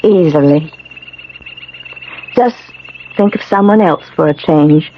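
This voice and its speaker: An actress playing a serene, sad, wise guardian in an old radio drama, speaking in a measured, gentle, instructive way. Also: female voice, sits low. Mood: gently instructive. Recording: muffled old radio broadcast, faint noise.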